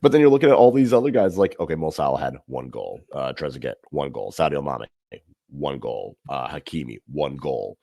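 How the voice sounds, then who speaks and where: A man co-hosting a casual sports podcast, running through player stats with animated asides. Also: extremely low pitch, normal speed, creaky. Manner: animated, listing stats, swings up when amused. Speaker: podcast co-host.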